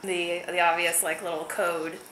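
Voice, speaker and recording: A woman's voice: fairly low pitch, flat delivery, normal speed, crowd noise. A woman co-hosting a show, chatting casually and jokingly with her partner. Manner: jokey, playful. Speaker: co-host of a movie-themed homebrewing show. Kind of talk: casual conversational chat.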